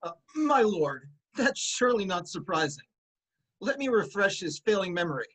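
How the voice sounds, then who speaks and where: A man playing an eager, confident messenger in a theatrical reading of Greek tragedy, with animated delivery. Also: high-pitched, normal speed, slightly rough, full character voice, poor audio.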